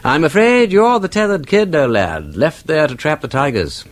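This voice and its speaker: An actor playing an urbane, composed gentleman detective with an upper-class English accent, polite and understated, in a radio drama. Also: fairly high pitch, big pitch swings, moderate pace. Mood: grave, frank.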